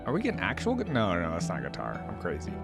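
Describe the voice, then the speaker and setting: Casual low-voiced man reacting over music. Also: wildly swooping pitch, fast, vocal fry. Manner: informal, rambling, thinking aloud. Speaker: host of a reaction video. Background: game music playing.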